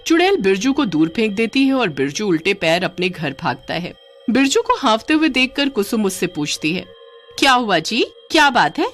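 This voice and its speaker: A woman narrates a scripted story in a medium-pitched voice. Her intonation rises and falls in a very lively way, over a light music bed. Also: moderate pace.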